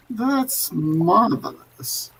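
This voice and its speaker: An actor playing a gloating, urbane murderer who speaks with mocking politeness, in 1940s radio drama style. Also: male voice, sits high, a little hiss or hum, heavily acted, poor audio. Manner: mocking politeness with frequent chuckles. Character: gloating, urbane male murderer holding captives at gunpoint.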